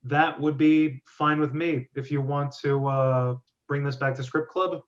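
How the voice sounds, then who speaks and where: A man's voice: a little high, fairly even intonation, measured pace. Man hosting a discussion, dull lo-fi audio. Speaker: host of a script reading club. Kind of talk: group discussion, giving feedback.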